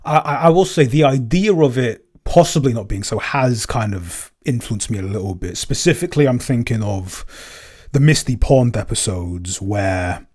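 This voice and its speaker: A man with a fairly low voice speaks off the cuff in a casual podcast Q&A. He is halting, often doubling words and restarting phrases while he explains his creative work. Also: darkish tone. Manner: halting, stammering over repeated words. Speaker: podcast creator and composer answering listener questions.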